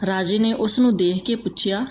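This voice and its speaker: A woman reading out a moral folk tale as a storyteller, in a steady, even manner. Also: medium pitch, moderate pace, slight background noise.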